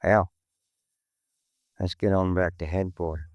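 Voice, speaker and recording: Low-voiced, folksy American police partner. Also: male voice, steady tone, measured pace, a little mellow, no echo. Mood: businesslike. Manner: casual, colloquial. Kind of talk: 1950s radio crime drama acting.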